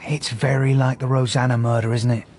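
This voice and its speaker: An actor playing a restrained, thoughtful police detective, measured and understated, in a radio drama. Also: male voice, medium pitch, level tone, background noise, natural voice. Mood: dry, thoughtful. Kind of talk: naturalistic radio drama dialogue.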